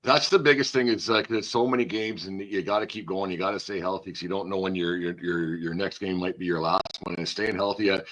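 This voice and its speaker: Male sports podcast host, lower register, folksy and rambling, circles back on his words, loose conversational interviewing. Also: fairly even intonation, brisk, few pauses, fairly bright tone, low-quality recording. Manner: folksy, rambling, repeats words while thinking.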